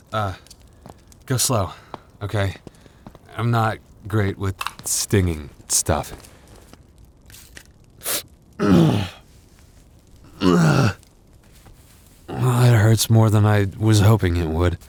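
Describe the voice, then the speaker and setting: A man acts out a werewolf boyfriend in an intimate audio roleplay, hurt and worn out. His voice is very low and he speaks slowly, in halting phrases broken by sighs. He stays tender and reassuring with his partner. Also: a little mellow, a little hiss or hum. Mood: pained, nervous. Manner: halting, sighing, intimate delivery.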